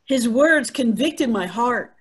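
A woman's voice: fairly high pitch, expressive, slow, breathy edge, exaggerated voice. A woman playing a devout, wondering mother in an amateur dramatic reading, telling her story earnestly. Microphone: video call.

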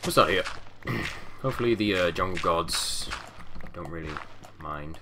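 A young man with a deep, low voice chats loosely while he plays, rambling from one thought to the next and mumbling little asides. He sounds a touch nasal and sniffly from a fading cold, talking into a headset mic in a small room. A steady hiss and keyboard clicks sit under his voice. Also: a little slow. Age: young adult. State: sniffly, getting over a cold. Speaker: gaming channel host. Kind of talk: casual let's-play commentary.

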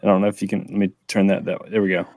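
A man's voice: low-pitched, little pitch movement, racing, gravelly, darkish tone, dry recording. A man co-hosting a podcast in casual conversation. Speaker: podcast co-host. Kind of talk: casual chat about collectibles. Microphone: close mic on a video call.

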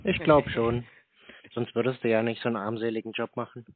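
Laconic deadpan man, thin phone-like sound. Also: mid-range voice, quick pace. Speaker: scam baiter taking calls. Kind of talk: casual phone chat. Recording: dull roomy phone-quality audio.

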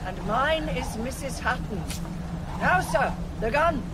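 An actress playing a stern village postmistress in a radio drama, firm, formal and commanding. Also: female voice, high-pitched, lively intonation, takes it slowly. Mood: stern, guarded. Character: stern, armed village postmistress standing her ground. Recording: outdoor noise, slightly distant voice.

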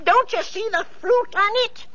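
A woman's voice: extremely high pitch, moderate pace, pushed and bright, highs cut off. An actress playing a scheming, bossy mother in an old radio play, bossing her daughters about. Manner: bossy, fawning to royalty. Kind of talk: 1940s radio acting.

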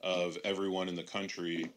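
A man's voice: low voice, level tone, normal speed, a bit bright. A man with a Chicago accent, talking bluntly and dismissively in a casual podcast discussion. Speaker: show regular.

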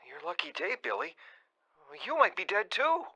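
A man's voice: high voice, sing-song, moderate pace, highs cut off, loud music behind the voice. An actor playing a young male friend passing on grim news in a radio drama. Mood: grave. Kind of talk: radio drama phone-call dialogue.

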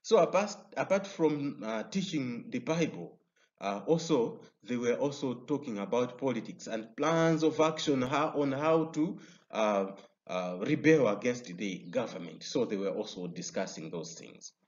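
A man with a Malawian English accent teaching a recorded history lesson over slides. He explains step by step and often pauses on "uh". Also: mid-range voice, animated. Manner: explanatory, many "uh" fillers and restarts. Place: indoor room. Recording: slightly dull lo-fi sound.

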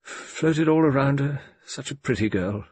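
A man voicing an elderly, shaken and devoted colonel in an audiobook, with halting, stammering, gruff delivery. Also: mid-range voice, a little mellow, no room sound, pitched above the performer's own voice. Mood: wistful. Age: older. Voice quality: gruff, breathless.

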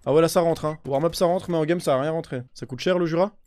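A young man streaming a shooter game on a headset mic, chatting excitably with his viewers and swearing often. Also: a little high, fast talker. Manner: excitable, swears a lot, talks to chat. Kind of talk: live gaming stream commentary. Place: indoor room with a gaming chair.

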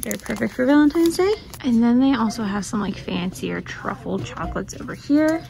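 A woman's voice: fairly high pitch, expressive, normal speed, creaky, darkish tone. A woman in her thirties filming herself in a shop and chatting casually about the cute items she finds. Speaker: vlog host. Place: inside a shop. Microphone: handheld phone camera. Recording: noisy shop background.

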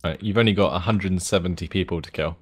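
A man playing along in a casual gameplay chat, laid-back and quick to laugh. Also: sits low, husky. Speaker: co-player. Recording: game sounds loud behind voice. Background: game noise, gunfire.

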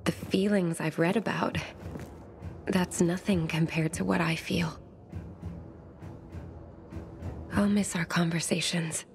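An actress playing a pensive, sensitive young bookish survivor in a video game, speaking in measured, formal phrases. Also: female voice, fairly low pitch, fairly even intonation, vocal fry, soft background music. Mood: wistful, tender. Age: young adult. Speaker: game character. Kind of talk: video game cutscene dialogue.

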